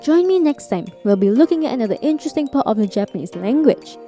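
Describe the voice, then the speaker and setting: A woman's voice: high-pitched, sing-song, quick pace, mellow, faint music behind. A woman presenting a scripted educational podcast as host and language teacher.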